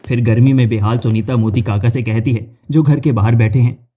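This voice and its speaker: A man's voice: medium pitch, fairly even intonation, a little fast, warm tone, breathy edge. A man reading scripted narration for a cartoon story.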